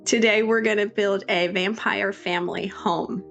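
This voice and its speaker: A young woman with a sore throat and a raspy voice, chatting through a casual voiceover for her game-building video, apologetic and full of restarts. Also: mid-range voice, steady tone, unhurried, fairly bright tone, highs cut off. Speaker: YouTube creator. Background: faint background music.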